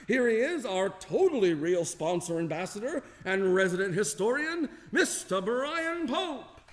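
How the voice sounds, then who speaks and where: A man hosts a radio variety show with showy, theatrical flair. His higher-pitched voice swings widely, drawing out announcements, and rings through a long hall reverb. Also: very animated. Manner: showy, jokey, drawn-out announcing.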